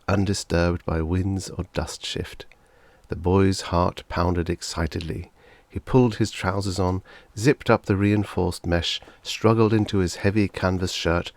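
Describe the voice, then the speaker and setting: A man's voice: low-pitched, dry recording. A man narrating fiction as a scripted audiobook reader.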